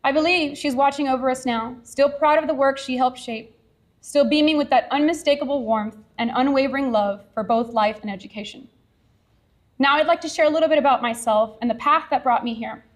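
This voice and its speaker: A woman from Texas, a science teacher, giving an earnest, measured award acceptance speech at a ceremony podium. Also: high voice. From Edinburg, Texas.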